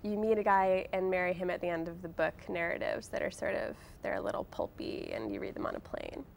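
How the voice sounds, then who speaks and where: Young female author as interview guest, conversational and loose, gravelly fry in the voice. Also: lowish voice, fairly even intonation, a little fast, crowd noise. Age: young adult. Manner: informal, hedging, peppered with "like" and "sort of".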